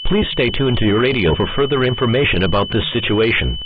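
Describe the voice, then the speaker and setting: A synthetic male voice of middling pitch reads a scripted emergency alert. It sounds like a dull, lo-fi radio broadcast, buried under very loud hum and noise. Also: animated, brisk.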